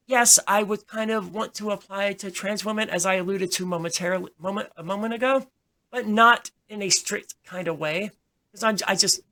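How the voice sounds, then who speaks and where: A woman's voice: medium pitch, fairly bright tone. A woman giving her opinions in a casual discussion, speaking emphatically and often restarting her phrases. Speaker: podcast host.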